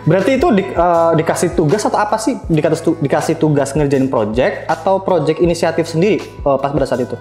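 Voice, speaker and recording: A man hosts a casual interview. His voice sits a little high. The room gives it clear echo, and light music plays behind him. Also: lively intonation, quick pace, hardly any pauses, a little mellow. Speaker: podcast host.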